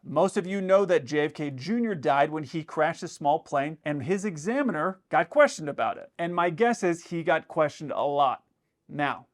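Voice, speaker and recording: Higher-pitched man giving casual pilot commentary. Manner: conversational, acts out imagined dialogue. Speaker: host, airline pilot commentator. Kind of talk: casual explanatory commentary to camera.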